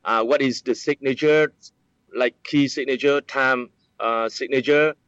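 A man's voice: medium pitch, steady tone, unhurried, a bit bright, clear, resonant tone, no echo. Hesitant man, dull lo-fi sound. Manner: hesitant, many fillers and restarts. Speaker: app developer, interviewee. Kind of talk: explaining, casual interview.

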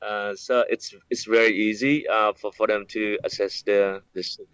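A man's voice: fairly low pitch, fairly even intonation, normal speed, a bit bright, smooth, dry recording. Hesitant man, dull lo-fi sound. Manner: hesitant, many fillers and restarts. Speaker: app developer, interviewee. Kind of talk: explaining, casual interview.

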